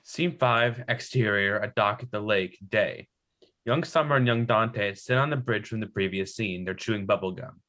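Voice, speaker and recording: A man narrates a screenplay at a table read, giving scene headings and stage directions. His voice is fairly low. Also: moderate pace, pushed and bright.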